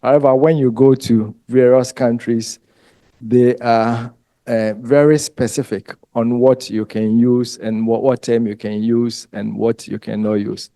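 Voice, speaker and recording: Ghanaian man as a lecturer, slow and dry-sounding, chatty with filler pauses. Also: medium pitch, level tone, warm tone, no echo. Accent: Ghanaian English. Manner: unhurried, conversational, trails off with ums. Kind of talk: lecture to exam candidates.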